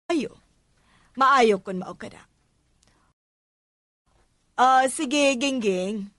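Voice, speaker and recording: An actress with a Cebuano Filipino accent playing a composed, authoritative wealthy madam in a radio drama, measured and formal. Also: female voice, on the high side, a bit bright, no echo, clean studio sound. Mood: composed, approving. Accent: Filipino, Cebuano-speaking. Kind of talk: Philippine radio drama acting.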